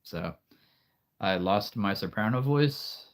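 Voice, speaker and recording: Male stream host: very deep, unhurried, soothing storyteller voice, rambling and calm, slight allergy throat-clearing. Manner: rambling, with frequent restarts and soft laughs. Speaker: livestream host. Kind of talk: casual live-stream chat.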